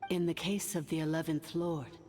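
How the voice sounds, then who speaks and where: A woman voicing a solemn, ancient artificial intelligence ruler in a video game, speaking formally and gravely in a cavernous reverb. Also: deep voice, steady tone, mellow, close to an everyday voice. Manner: formal, measured, grave exposition. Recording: long cavernous reverb, music underneath.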